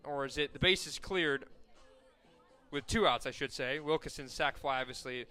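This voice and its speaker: A young man calling softball play-by-play for college radio, correcting himself often and surging loud on big plays. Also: medium pitch, moderate pace. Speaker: play-by-play announcer.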